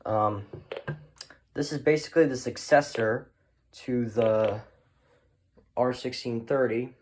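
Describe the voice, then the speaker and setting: A man's voice: mid-range voice, slow pace, darkish tone. A man chatting casually as a hobbyist presenter, rambling and often trailing off at the end of his thoughts. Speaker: hobbyist collector presenting a video. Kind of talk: casual show-and-tell chat.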